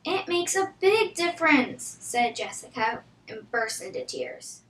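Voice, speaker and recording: A female reader with a high-pitched voice reads a children's storybook. She sounds set back from the microphone in a very echoey room. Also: sing-song, a little slow, fairly bright tone, distant mic. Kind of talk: reading a children's storybook aloud.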